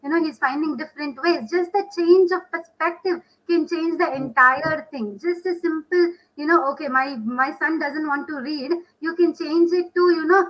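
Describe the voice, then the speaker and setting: A woman with a high voice talks as a parent on a discussion panel. She is earnest and conversational, circling back over her points with frequent "you know". The sound is dull and lo-fi, like a video call. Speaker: parent guest on panel podcast.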